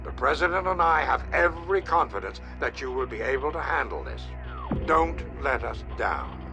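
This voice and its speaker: An actor playing an authoritative male commander giving formal, measured mission briefings in a video game. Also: medium pitch, lively intonation, lightly acted. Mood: measured, serious. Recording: loud music under the voice.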